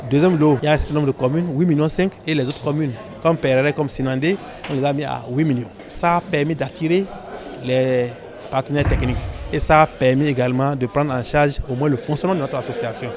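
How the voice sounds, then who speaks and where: A man's voice: on the high side, fairly even intonation, fairly quick. A man giving an explanatory interview answer as an official. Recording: busy outdoor crowd noise.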